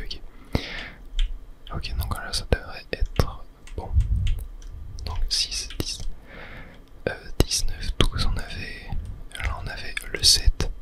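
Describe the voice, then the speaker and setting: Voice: very high-pitched, sharp tone. A person whispering ASMR commentary over a video game on a close mic, thinking aloud hesitantly and correcting themselves as they go. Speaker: gaming video host.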